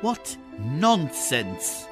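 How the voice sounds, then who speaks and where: Narrator playing a haughty young princess, slow and lilting. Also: mid-range voice, big pitch swings, over music. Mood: dismissive.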